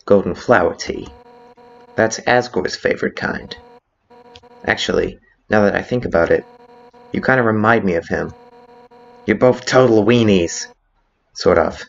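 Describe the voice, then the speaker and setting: A man's voice: on the low side, unhurried, vocal fry, pauses often, muffled recording. A man voicing a brash, hot-headed female monster guard captain with blunt, informal delivery in a video game fan dub. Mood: nostalgic, candid. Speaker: character voice actor in a fan dub. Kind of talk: dramatic video game dialogue. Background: background music.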